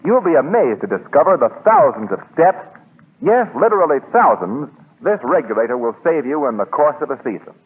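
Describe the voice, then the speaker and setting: A man's voice: fairly high pitch, big pitch swings, a little fast, slightly rough. A male radio announcer reading a 1940s advertisement with polished, emphatic salesmanship. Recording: tinny 1940s radio recording.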